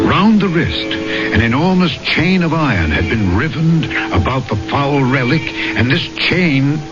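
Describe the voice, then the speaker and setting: Dramatic low-voiced gentleman, sweeping intonation. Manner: dramatic, deliberate storytelling delivery. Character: troubled, inquisitive gentleman recounting a horror tale. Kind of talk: 1970s radio drama acting. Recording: muffled old radio broadcast, loud music.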